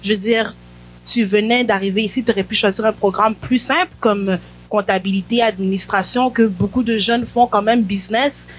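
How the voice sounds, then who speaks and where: A woman's voice: mid-range voice, fairly even intonation, moderate pace, a little hiss or hum. A woman hosting a morning faith talk show, inviting her guest and asking questions for viewers. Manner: warm, inviting, interrupts to clarify for viewers. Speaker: host.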